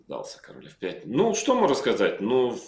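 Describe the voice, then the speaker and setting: A middle-aged man on a video call from home, calmly walking through a chess game move by move with many fillers. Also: mid-range voice, a little fast. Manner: calm, reflective, calling out moves with many fillers. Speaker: chess player recalling his own game. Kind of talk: analytical interview talk. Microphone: video call microphone. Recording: roomy video-call audio.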